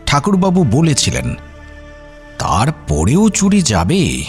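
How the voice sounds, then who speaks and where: Animated, genial police officer. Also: male voice, a little high, slow, soft background music, heavily acted. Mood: affable, conversational. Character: affable local police officer briefing a detective. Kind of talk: Bengali radio drama acting.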